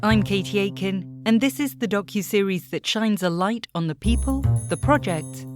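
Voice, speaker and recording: Woman, documentary host, scripted narration, lower voice, music behind.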